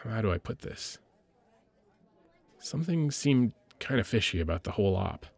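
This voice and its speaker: A man playing a caring, playfully gruff military medic lieutenant in an intimate audio roleplay, with an informal, hesitant, teasing delivery. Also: lowish voice, big pitch swings, normal speed, a little mellow, exaggerated voice. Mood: curious, suspicious.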